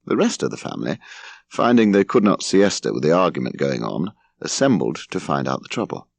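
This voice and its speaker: Deep, animated English male storyteller. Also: a little fast, creaky, dry recording. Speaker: audiobook narrator. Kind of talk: expressive scripted narration.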